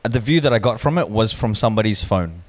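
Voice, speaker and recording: A man's voice: on the low side, lively intonation, quick pace. A man co-hosting a casual podcast, reasoning things out aloud and stumbling over his words as he explains. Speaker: podcast co-host. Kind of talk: casual chat.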